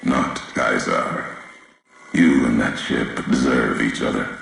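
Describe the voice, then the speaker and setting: An actor playing a brooding, fatalistic warrior courier in a video game, speaking solemnly in clipped, cryptic phrases with a very deep gravelly voice. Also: male voice, takes it slowly, a bit bright, very echoey, exaggerated voice. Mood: solemn, defiant. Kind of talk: video game character dialogue, slow cryptic monologue.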